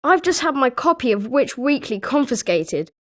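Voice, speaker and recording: A woman voicing an excitable young British schoolboy wizard in a video game, with animated scripted delivery. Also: high voice, moderate pace. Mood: chatty. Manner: animated, expressive.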